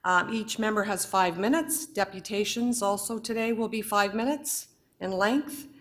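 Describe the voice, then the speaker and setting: A hoarse woman chairing a formal board meeting in a council chamber, measured and procedural, with occasional fillers. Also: mid-range voice.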